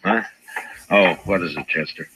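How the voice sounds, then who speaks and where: A man's voice: deep voice, steady tone, normal speed, lightly acted. An actor playing a stern, weary frontier lawman in an old-time radio western, terse and authoritative. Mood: commanding. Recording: muffled, noisy vintage radio recording.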